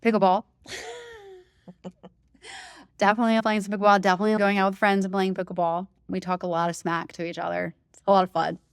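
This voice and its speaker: A woman who hosts a running podcast, talking as an interview guest in a loud, emphatic, enthusiastic way and laughing easily. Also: mid-range voice, animated, brisk, long pauses, smooth, no echo, clean studio sound. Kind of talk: casual interview chat.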